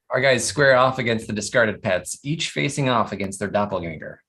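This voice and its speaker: A narrator reading out scripted stage directions at an animated comedy table read. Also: medium pitch, normal speed.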